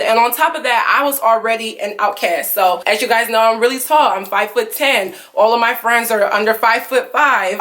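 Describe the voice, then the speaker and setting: A young woman vlogging candid relationship advice in an earnest, confiding way, full of fillers, with a lower voice for a woman and emotion close to tears. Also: fairly bright tone.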